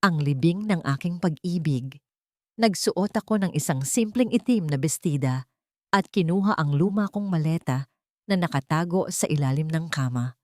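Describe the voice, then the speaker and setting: Filipino woman narrating drama, low close voice. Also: animated, normal speed, dark tone, no echo. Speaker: story narrator. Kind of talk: dramatic first-person scripted narration of a romance audio story.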